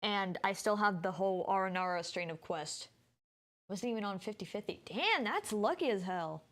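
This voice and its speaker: A woman's voice: medium pitch, lively intonation, normal speed. A woman streamer giving informal, rambling live commentary while she plays a video game. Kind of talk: casual live gameplay commentary.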